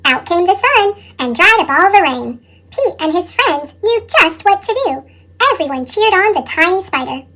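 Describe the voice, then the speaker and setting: A woman's voice: animated, moderate pace, pushed and bright. A woman reading children's picture books aloud expressively, her voice sped up with a chipmunk effect. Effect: sped-up chipmunk pitch effect. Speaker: storybook reader.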